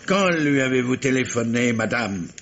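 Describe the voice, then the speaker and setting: Wily police commissioner, muffled vintage radio. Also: male voice, medium pitch, lively intonation, moderate pace, fairly bright tone. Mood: methodical probing. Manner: hesitant, repetitive phrasing that turns commanding. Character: wily, insistent police commissioner interrogating a suspect. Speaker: actor in a radio play. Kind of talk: 1950s French radio mystery acting. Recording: muffled vintage radio recording, faint noise.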